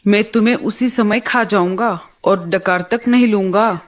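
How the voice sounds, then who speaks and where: A woman's voice: medium pitch, a little slow, close to an everyday voice. A woman voicing a menacing, gluttonous male eagle with threatening, taunting delivery in a children's cartoon story. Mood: angry, threatening.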